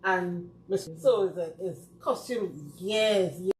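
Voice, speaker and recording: A woman's voice: lowish voice, slow. A woman with a Trinidadian accent speaking as a guest in a casual panel discussion. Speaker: guest from a community dance company. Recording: echoey room with faint noise.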